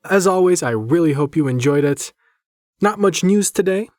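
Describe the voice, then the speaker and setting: A man's voice: high voice, animated, normal speed, a little mellow, no echo, clean studio sound. A man hosting his story channel, chatting casually and informally to his viewers. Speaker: host and storyteller of a horror channel.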